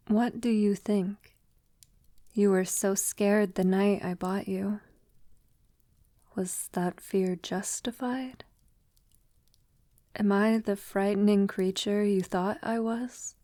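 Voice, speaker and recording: A woman playing a gentle, conscience-troubled vampire lover in an intimate ASMR audio roleplay, speaking softly, tenderly and hesitantly. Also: medium pitch, steady tone, takes it slowly, pauses often, no room sound, clean studio sound, lightly acted. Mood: pensive, troubled. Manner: soft, tender, hesitant, often dropping to a whisper. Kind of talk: ASMR girlfriend roleplay, soft intimate acting.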